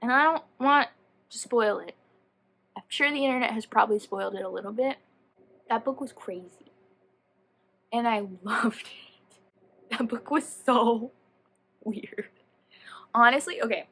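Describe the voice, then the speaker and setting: A woman giving a casual, chatty book review as a vlogger, animated and slangy, often rambling and interrupting herself. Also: a little high, unhurried, pauses often.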